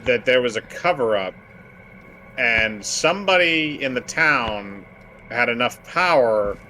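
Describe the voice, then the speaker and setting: A man's voice: medium pitch, expressive, slow pace, pushed and bright, a little hiss or hum, poor audio. A man giving casual gameplay commentary, thinking aloud and speculating as he goes. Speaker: gamer.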